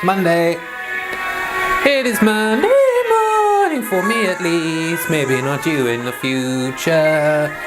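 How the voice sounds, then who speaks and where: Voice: mid-range voice, lively intonation, takes it slowly, over loud music, rough recording. A vlog host with a playful, self-deprecating, informal way of talking, moving between casual chat and reading aloud. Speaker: host of a Bible read-along vlog. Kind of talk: casual rambling chat, then scripture reading.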